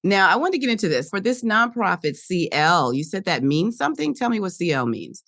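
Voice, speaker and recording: A woman's voice: medium pitch, sing-song, a little fast, gravelly, a bit bright, dry recording, clean studio sound. A woman hosting a podcast interview, upbeat and enthusiastic with her guest. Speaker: podcast host.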